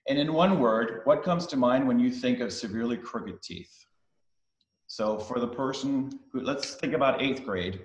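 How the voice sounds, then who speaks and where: A middle-aged man from Denmark who learned English as a second language gives a presentation over a video call. He speaks casually and haltingly, with frequent fillers and restarts. His voice sits in a middle range and carries a roomy echo from the call. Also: mid-range voice. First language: Danish. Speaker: guest speaker.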